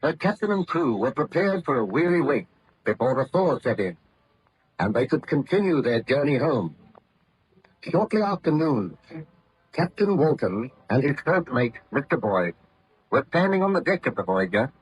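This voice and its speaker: A man's voice: mid-range voice, sing-song, slightly rough. A man narrating an old radio drama as a formal, grave announcer. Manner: formal, measured. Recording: noisy old radio recording.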